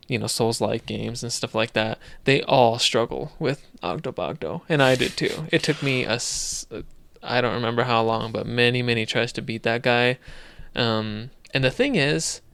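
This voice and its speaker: A man chatting on a gaming podcast in a gravelly yet smooth, resonant voice. He explains how games work in a relaxed, matter-of-fact way. The sound is dry and close. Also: medium pitch, dry recording. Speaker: podcast co-host. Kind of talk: casual gaming podcast chat.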